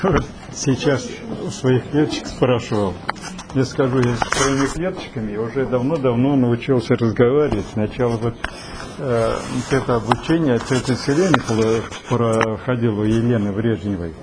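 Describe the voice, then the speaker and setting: A man's voice: mid-range voice, normal speed. Rambling man in his seventies, noisy recording. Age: 70s. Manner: rambling, folksy, many fillers. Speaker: participant. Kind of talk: casual storytelling.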